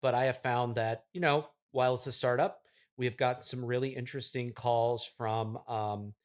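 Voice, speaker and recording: Man playing a self-important, halting startup founder. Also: mid-range voice, fairly even intonation, lightly acted. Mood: proud, explaining. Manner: halting, earnest, pompous explaining. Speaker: podcast guest playing a character. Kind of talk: improvised deadpan mock interview.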